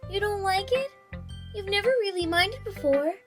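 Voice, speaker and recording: A woman's voice: very high-pitched, animated, normal speed, over music. A woman voicing a perky, teasing teenage schoolgirl in a fan visual novel, playful and bubbly. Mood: cheerful teasing.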